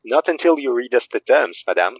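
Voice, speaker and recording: A man's voice: mid-range voice, lively intonation, fairly quick, vocal fry, breathy edge, dry recording, lo-fi sound. An actor playing a wry, cautious, self-deprecating man in an audio drama, talking in a casual, dry-humored way. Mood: guarded, firm.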